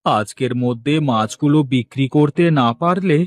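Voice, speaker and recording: A man's voice: medium pitch, normal speed, no room sound, clean studio sound, natural voice. A man playing an anxious village fish wholesaler in a cartoon, exclamatory and given to repeating himself. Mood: desperate. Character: anxious village fish-wholesale boss, polite, easily panicked. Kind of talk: cartoon dialogue acting.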